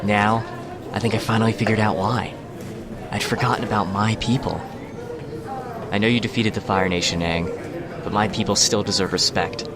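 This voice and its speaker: A man playing a troubled, proud young ruler in an animated comic dub, grave and firm. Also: on the low side, moderate pace, pauses often, a crowd in the background, lightly acted. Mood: solemn.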